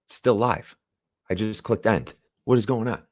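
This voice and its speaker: A young man with a raspy voice, chatting casually as host of a comics livestream, rambling and laid-back with some swearing. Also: on the low side, moderate pace, no echo, clean studio sound. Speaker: podcast host.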